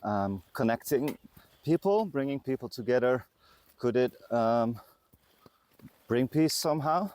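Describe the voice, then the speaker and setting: A man's voice: medium pitch, animated, measured pace, darkish tone, no echo. A man hosting a casual interview, asking questions tentatively and listening along. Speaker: podcast host and interviewer.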